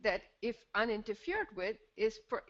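A woman's voice: a little high, sing-song, moderate pace, fairly bright tone. A woman hosting a call-in show, speaking in an unscripted, reflective conversational style. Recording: slightly dull, band-limited call audio.

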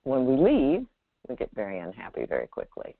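A woman giving unscripted spiritual guidance on a call-in, speaking in a measured, halting way with pauses and check-in questions. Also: a bass voice, huge pitch swings, creaky, dry recording. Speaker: Zen teacher hosting a call-in. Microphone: telephone. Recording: narrow tinny phone line.

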